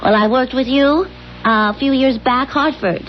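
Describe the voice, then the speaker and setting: An actress playing an earnest, coaxing, insistent wife in a 1970s radio drama. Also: female voice, high voice, moderate pace, natural voice. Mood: nervous, polite. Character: eager, insistent, polite young wife. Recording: dull, noisy old radio broadcast recording.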